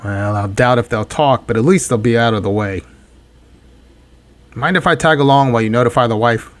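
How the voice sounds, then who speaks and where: An actor playing a hard-boiled, wisecracking private detective in 1940s radio drama style, with a wry deadpan delivery. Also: male voice, medium pitch, a little fast, close to an everyday voice. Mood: dry, irritated.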